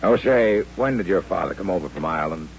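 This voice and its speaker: An actor playing a dry, sensible frontier town doctor, folksy and gruff, in an old-time radio western. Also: male voice, low voice, animated, fast talker. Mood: thoughtful. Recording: dull, noisy, humming old radio recording.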